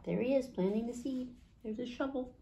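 A woman's voice: a little high, fairly quick, gravelly, background noise. A woman in her forties reading a picture book aloud to children as a storytime host, in simple phrases with questions to the listeners. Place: small indoor room.

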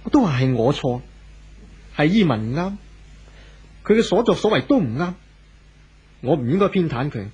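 A devoted, pleading husband in an old radio play. His husky voice is fairly high and moves expressively, on a dull recording. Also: sing-song, moderate pace, long pauses, a little mellow, full character voice. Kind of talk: 1970s radio drama acting.

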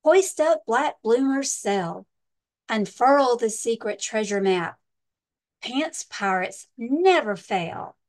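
A woman voicing a boisterous, bossy, excitable male pirate captain with hearty exclamations in a children's storybook. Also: fairly high pitch, takes it slowly. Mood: commanding. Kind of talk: children's storybook read-aloud.

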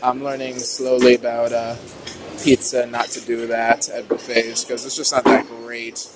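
A man's voice: medium pitch, completely flat delivery, a little slow. Young male vlogger, upbeat chatty walkthrough, phone-camera mic, noisy busy restaurant behind. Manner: upbeat, chatty, lots of "ooh" and "uh". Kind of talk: casual walkthrough narration. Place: large indoor buffet restaurant. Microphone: handheld phone camera. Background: diner chatter and clatter.

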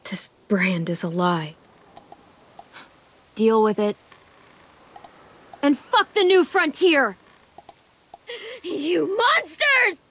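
Tough teen girl zombie survivor for a video game, kinda husky, guarded and dry, short dramatic lines. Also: fairly high pitch, fairly bright tone, full character voice. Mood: hushed, then defiant, angry.

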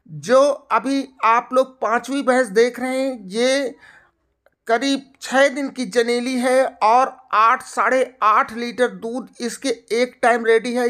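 A woman hosting a livestock video, narrating off camera through a buffalo shed in an upbeat sales pitch aimed at farmers. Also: a bit bright. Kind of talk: off-camera farm tour narration.

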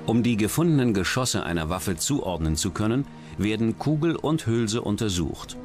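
A man narrates a true-crime documentary with a low, lively-moving voice. He is measured and matter-of-fact, delivering scripted lines over clear background music.

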